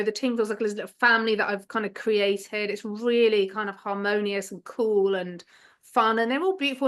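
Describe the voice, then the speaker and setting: A woman, a hypnotherapist chatting as a podcast guest, laughing often and trailing off into softer asides. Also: fairly high pitch. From England. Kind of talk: casual interview chat.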